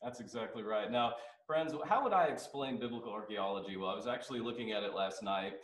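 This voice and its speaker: A man's voice: lowish voice, fast talker, fairly bright tone. Echoey male radio host. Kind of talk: live radio panel hosting, addressing listeners. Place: classroom at a seminary, small audience. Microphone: desk microphone on boom arm. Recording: roomy echo, somewhat distant.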